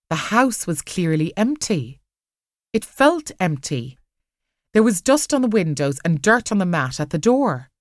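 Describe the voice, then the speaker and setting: A woman narrating an audiobook story in an expressive, scripted reading. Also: medium pitch, no room sound, clean studio sound.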